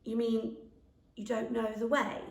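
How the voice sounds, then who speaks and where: A woman's voice: a little high, completely flat delivery, unhurried, gravelly, a little mellow, a little hiss or hum. Woman voicing a courteous grown male hedgehog, roomy sound. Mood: curious. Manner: instructive, courteous. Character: helpful, unhurried grown male stranger hedgehog. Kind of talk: children's storybook character voice. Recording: roomy, distant microphone.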